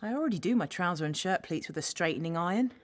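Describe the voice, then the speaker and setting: A woman's voice: lowish voice, expressive, fast talker. An actress playing a curious, casual rookie policewoman in a naturalistic audio drama. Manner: casual, inquisitive.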